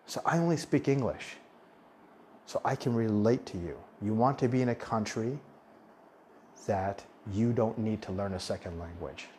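A man's voice: fairly low pitch, normal speed, a little mellow, slight background noise. A man in his forties hosting a podcast, talking casually in a monologue with frequent restarts and self-corrections. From United States. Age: 40s. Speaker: podcast host on living abroad.

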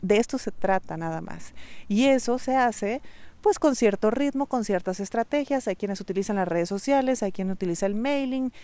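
A woman's voice: mid-range voice, expressive, moderate pace, mellow, no echo. A Mexican woman hosting her own podcast, talking straight to the listener in a direct, coaching way and repeating key points for emphasis. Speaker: podcast host. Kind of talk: solo podcast monologue on online business.

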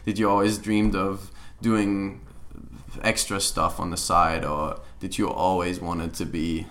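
A man with a bright, forward voice and a fairly low pitch hosts a relaxed interview. He chats easily, fills pauses with "um," and drops in quick "yeah"s while his guest talks. His questions ramble a little before they land. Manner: chatty, many "um"s and "yeah"s. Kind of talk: casual interview conversation.

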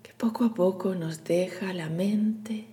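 A woman leading a guided meditation in a soothing, hushed, breathy delivery with long pauses. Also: mid-range voice. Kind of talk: guided meditation, scripted narration.

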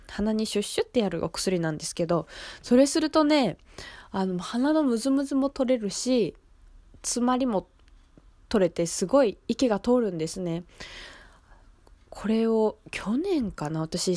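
A woman's voice: mid-range voice, darkish tone, no echo. Young lady radio host, kinda stuffy nasal voice, chatty n polite, dry studio sound. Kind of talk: casual local radio show talk.

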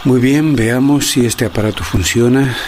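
A man acting as a grave radio-play narrator delivers a formal testimony. He speaks deliberately and declaims in a mid-pitched voice. A faint noisy rumble sits behind him. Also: lightly acted. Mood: testing, matter-of-fact. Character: solemn male narrator recording his testimony about a strange case. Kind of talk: radio drama monologue. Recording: noisy recording with ambient rumble.

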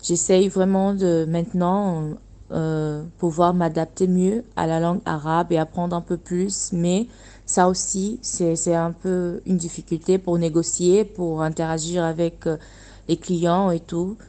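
A young woman from Angola, a native Portuguese speaker, talking earnestly about her work in a radio interview and often pausing to find her words. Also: fairly low pitch, level tone, dark tone. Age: young adult. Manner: earnest, searching for words with restarts. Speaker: guest, dressmaker running her own workshop.

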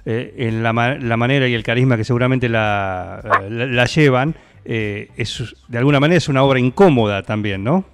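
A man's voice: medium pitch, smooth. A man with an Argentine Spanish accent interviewing a guest on the radio, framing his questions with hesitant fillers and brief acknowledgements.

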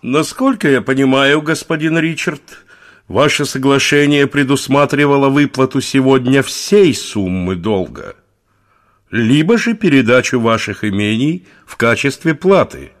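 Man as an officious judge, lively intonation. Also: mid-range voice, a little slow, close to an everyday voice. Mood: courteous, officious. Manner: courteous, officious. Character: formal, deferential medieval judge, measured and polite. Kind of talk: Russian audio play acting.

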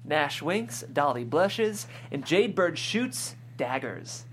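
A woman's voice: sits low, very animated, takes it slowly. A woman reading stage directions as scripted narration at a table read. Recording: clear background hum and noise.

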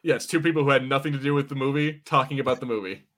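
A man's voice: medium pitch, very fast, a bit bright. Casual, chatty man co-hosting a podcast. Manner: chatty, peppered with swearing and slang. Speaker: podcast co-host. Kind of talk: casual watch-party commentary.

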